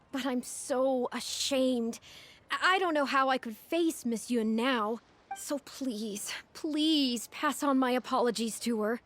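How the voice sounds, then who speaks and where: A woman voicing an earnest, troubled female character in a fantasy video game, with expressive delivery. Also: high-pitched, takes it slowly. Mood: ashamed, apologetic.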